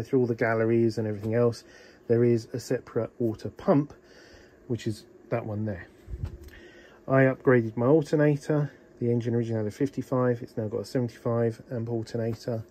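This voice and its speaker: A man's voice: mid-range voice, dark tone, slight background noise. Chatty man explaining boat maintenance. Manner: practical, chatty, many ums. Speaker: boat owner showing engine maintenance. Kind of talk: casual how-to narration. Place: small boat cabin engine bay, indoors. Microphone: phone held in one hand.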